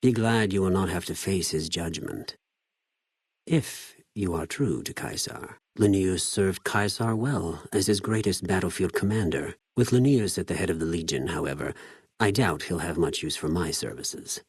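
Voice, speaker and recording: An actor playing a cold, cunning spy of a Roman-styled slaver army in a video game, speaking in a measured, formal, menacing way with precise diction. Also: male voice, low voice, breathy edge, no room sound, studio quality. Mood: solemn, proud. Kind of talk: scripted video game character dialogue.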